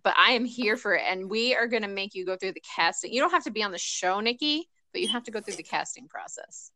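A woman's voice: mid-range voice, expressive, fast, sharp tone. A woman co-hosting a casual podcast, chatting and laughing easily. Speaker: podcast co-host. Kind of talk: casual chat.

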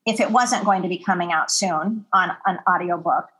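A woman's voice: lowish voice, normal speed, a bit bright, husky, poor audio. A woman co-hosting an interview, speaking earnestly and rambling a little, with lots of fillers. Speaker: podcast co-host. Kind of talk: interview conversation.

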